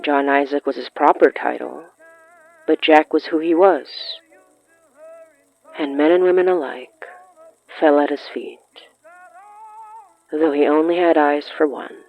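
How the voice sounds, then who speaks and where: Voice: medium pitch, measured pace, creaky, long pauses. A narrator reading a scripted short story in a literary storytelling style. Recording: muffled lo-fi sound with music. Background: music.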